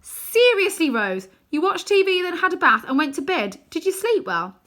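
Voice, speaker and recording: Storybook boy hero, played by a woman: earnest and pleading, forceful delivery, very animated pitch. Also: normal speed, full character voice. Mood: incredulous. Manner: urgent, pleading. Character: earnest, exasperated young boy, storybook hero and narrator's self.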